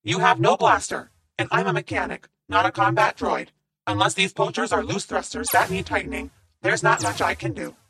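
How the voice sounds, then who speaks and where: A woman voicing a dry, sardonic robot sidekick in a science fiction story, with a deadpan, creaky delivery. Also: medium pitch, normal speed, voice pushed down, low-quality recording.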